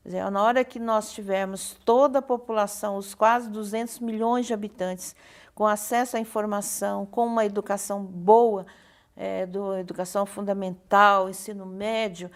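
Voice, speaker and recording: A woman with a Brazilian Portuguese accent answering as an academic guest in an interview, explaining in halting phrases with repeated words and checking tags. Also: mid-range voice, fairly even intonation. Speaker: university professor and communication researcher, interview guest.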